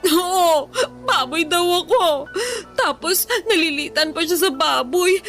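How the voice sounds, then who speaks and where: A woman's voice: extremely high pitch, slow pace, a bit bright, faint music behind, heavily acted. An actress playing a heartbroken, self-conscious young woman in a radio drama, plaintive and whiny. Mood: tearful, wounded.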